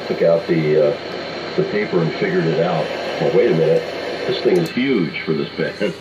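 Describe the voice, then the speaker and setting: A man's voice: sits low, moderate pace. A middle-aged or older American man chatting casually and hesitantly as an amateur radio operator, heard through an AM radio transmission. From United States. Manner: rambling, hesitant, reminiscing. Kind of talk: casual ham radio chat. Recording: muffled, noisy AM shortwave radio signal. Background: radio static noise.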